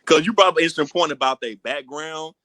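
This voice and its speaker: A man's voice: fairly high pitch, fast talker, pushed and bright, no echo. A man co-hosting a podcast with casual, slangy banter, recorded close on a mic. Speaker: podcast co-host.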